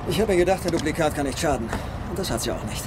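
A wry, unflappable treasure hunter played by a male actor in a dubbed adventure film. He talks fast in a lively, fairly high voice, with vehicle noise behind. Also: close to an everyday voice. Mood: wry, unruffled. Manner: wry, brisk. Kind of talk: German film dub acting. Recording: noisy film soundtrack with vehicle sound.

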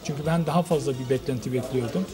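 A man's voice: mid-range voice, fast, over music. A man in his forties, a restaurant owner, answering informally and repetitively in an interview on a handheld microphone. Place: restaurant dining room. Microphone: handheld reporter microphone.

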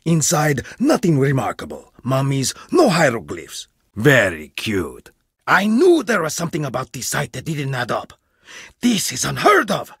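A man's voice: on the high side, vocal fry, fairly bright tone, slightly rough, no room sound, studio quality, exaggerated voice. An actor playing a stern, scornful male archaeologist in a video game, harsh and commanding as he scolds his student. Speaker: archaeologist mentor.

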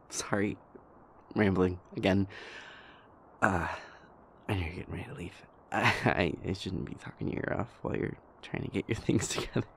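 A man playing a shy, anxious, gentle young boyfriend in an audio roleplay, stammering, hesitant and apologetic in a soft-spoken way. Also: deep voice, steady tone, normal speed, long pauses, slight background noise. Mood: nervous, apologetic.

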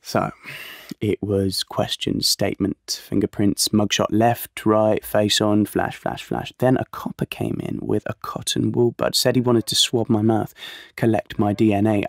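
A man's voice: lowish voice, creaky, dry recording, studio quality. An actor playing a naive, lovesick young British man in an audio play, telling his story in a colloquial, confiding way. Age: young adult. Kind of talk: audio play monologue and dialogue.

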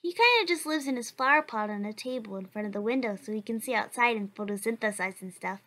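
A woman's voice: a little high, lively intonation, fast talker. A woman voicing a cheerful, earnest child who answers questions for a blog in a comic dub. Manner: bright, chatty, earnest.

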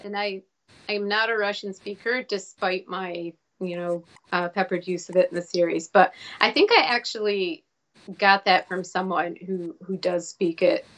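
A woman's voice: on the low side, fairly bright tone. American author in her forties on a home webcam call, stop-start and reflective. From United States. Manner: thoughtful, halting with many restarts. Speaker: author guest. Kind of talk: casual live video chat. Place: home room with a shelf behind. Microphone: webcam on a video call.

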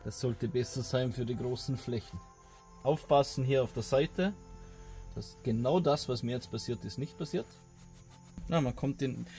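Relaxed man in his 40s. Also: medium pitch. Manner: relaxed, hesitant with fillers, explaining as he works. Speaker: DIY video host. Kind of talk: casual workshop tutorial. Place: home garage workshop, indoors. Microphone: camera mic, close then farther as he works on the seat.

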